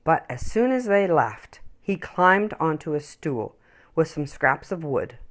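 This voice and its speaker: Female children's storyteller. Slightly low voice, highly varied intonation, expressive and emphatic delivery. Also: unhurried. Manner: animated, emphatic, dramatic phrasing.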